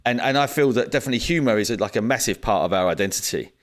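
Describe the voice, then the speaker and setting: A man's voice: fairly low pitch, expressive, racing. A man, co-hosting a casual podcast chat in an easygoing way with frequent fillers and short agreements. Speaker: podcast co-host.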